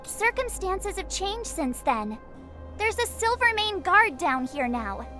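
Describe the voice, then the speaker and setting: Outgoing teenage girl adventurer for a game: extremely high, bright, animated delivery, music underneath. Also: slow, heavily acted. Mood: eager, persuasive. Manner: animated, chatty, emphatic. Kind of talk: video game voice acting.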